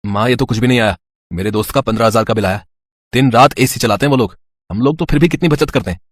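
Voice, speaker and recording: A man voicing an easygoing grown son who gently reproaches, in a cartoon moral story. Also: mid-range voice, quick pace, no room sound, natural voice.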